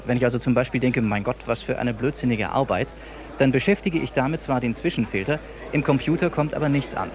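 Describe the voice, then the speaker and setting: Ein Schauspieler spielt in einem Hörspiel einen sachlichen, mitdenkenden Mann im Fachgespräch. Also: male voice, medium pitch, quick pace, crowd noise, close to an everyday voice. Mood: inquiring. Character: male questioner probing a technical system, matter-of-fact. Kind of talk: radio drama dialogue.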